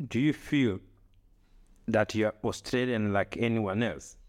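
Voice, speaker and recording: A middle-aged man from Africa talking in casual conversation, earnest and halting, with grammar and repeated phrases. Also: on the low side, a little mellow. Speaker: podcast co-host, father.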